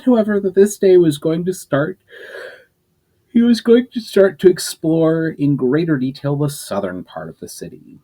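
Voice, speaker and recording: A man reading a chapter of his own novel aloud, telling it in a lively way with small slips and self-corrections. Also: on the high side. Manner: lively storytelling with frequent self-corrections and fillers.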